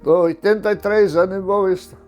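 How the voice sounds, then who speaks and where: A man's voice: high-pitched, steady tone, brisk, darkish tone, soft background music. A man in his eighties from southern Brazil, speaking in a documentary interview about his father in short, matter-of-fact remarks. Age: 80s.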